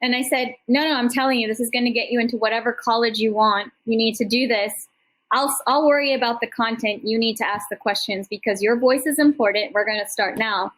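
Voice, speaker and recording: A middle-aged woman with a slightly high voice talks as a panel host. Her delivery is informal and conversational, full of encouragement. She rambles effusively, moving between personal anecdotes and motivational appeals. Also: fairly even intonation, fairly quick, a bit bright, rough recording. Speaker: host and founder speaking at an online summit panel.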